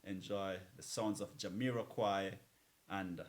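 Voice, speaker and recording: A man's voice: sits low, steady tone, unhurried. A man hosting a music show as a DJ, talking in a laid-back, philosophical and encouraging conversational way between songs. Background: music playing under the voice.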